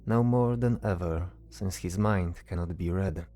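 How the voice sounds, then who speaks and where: A man voicing a stoic, cryptic mage in a fantasy audio drama, calm and assured, with formal, deliberate phrasing. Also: low-pitched.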